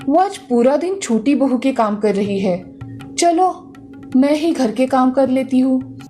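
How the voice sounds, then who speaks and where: A woman acts out an older Indian-family mother-in-law for a cartoon morality tale. Her voice sits high and carries a scolding, aggrieved temper. Faint music plays underneath. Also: lively intonation, slow, mellow. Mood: weary grumbling. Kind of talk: acted dialogue in cartoon moral story.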